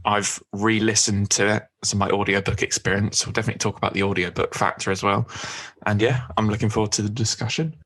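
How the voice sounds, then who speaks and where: A man co-hosting a podcast, chatting in a relaxed way with small restarts. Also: fairly low pitch, fast, hardly any pauses. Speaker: podcast co-host. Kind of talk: casual podcast chat.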